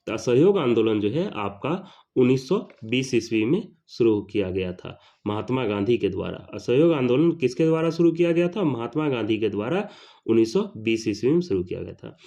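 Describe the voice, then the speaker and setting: A man's voice: mid-range voice, mellow. A man teaching an exam question-and-answer lesson, repeating questions and answers for emphasis. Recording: slightly dull lo-fi sound.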